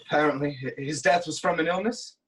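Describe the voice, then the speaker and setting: Tragic king, proud, fearful, declamatory, slightly high husky voice. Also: a little fast, low-quality recording. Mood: shocked, bitter. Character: troubled proud king, fearful and haunted by prophecy. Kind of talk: dramatic reading of Greek tragedy.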